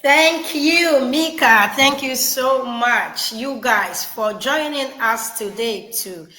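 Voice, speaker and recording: A woman's voice: high-pitched, slow, pushed and bright. A woman co-hosting a motivational talk show, delivering an emphatic, preachy pep talk full of rhetorical questions aimed straight at the viewer. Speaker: co-host.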